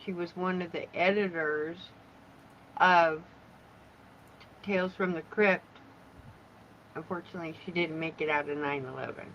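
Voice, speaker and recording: Hoarse, bright-voiced woman on a muffled line. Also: fairly low pitch, fairly even intonation, moderate pace, long pauses. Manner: loud-natured, giggly, talkative. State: hoarse, voice going in and out. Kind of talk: casual chat. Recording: dull lo-fi sound with faint background noise.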